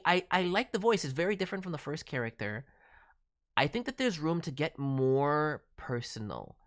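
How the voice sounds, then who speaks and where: A performer voicing a cheeky, excitable non-human cartoon mascot who hosts a casual stream with boastful, playful word-mangling. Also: mid-range voice, dry recording, studio quality, close to an everyday voice. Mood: earnest advice. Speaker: host reviewing voice demo reels. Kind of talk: casual live stream commentary.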